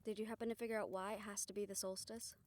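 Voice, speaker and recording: A woman performing a scripted audio roleplay, voicing several characters in a soft, hushed way that often drops to a whisper. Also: mid-range voice, little pitch movement, quick pace, dry recording.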